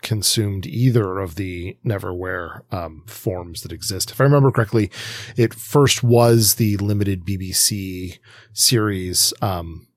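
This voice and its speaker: Lowish voiced guy on a podcast, kinda rambly n hesitant, thinking out loud, dry close sound. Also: unhurried, no room sound. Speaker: podcast host. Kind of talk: casual review discussion.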